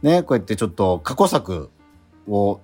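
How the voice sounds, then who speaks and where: A man's voice: on the low side, very animated, moderate pace, dark tone, husky, faint music behind. A man hosting a casual radio talk show, speaking hesitantly with many filler words. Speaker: radio show host and actor.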